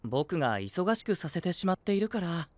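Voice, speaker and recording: An actor playing a gentle, blithely persuasive princely young knight, soft-spoken and leisurely, in an anime-style audio drama. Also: male voice, high-pitched, big pitch swings, moderate pace, dry recording. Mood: gentle, easygoing.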